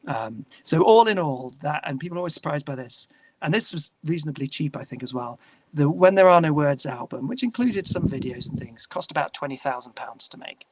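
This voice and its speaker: A man's voice: fairly high pitch, animated, fairly quick, slightly rough, lo-fi sound. A British man talking earnestly and discursively as a musician guest in a casual interview, often restarting his phrases.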